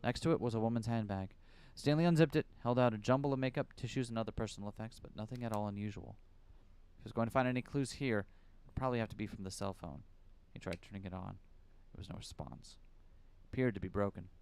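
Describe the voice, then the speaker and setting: A man streaming a game from a hot room at home, reading the story aloud and chatting loosely, his voice turning a little raspy. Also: on the low side, quick pace, darkish tone.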